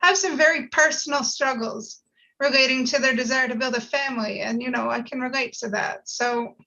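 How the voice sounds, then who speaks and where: Bright, high-voiced woman in casual discussion. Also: normal speed, vocal fry. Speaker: panel participant. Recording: dull lo-fi audio.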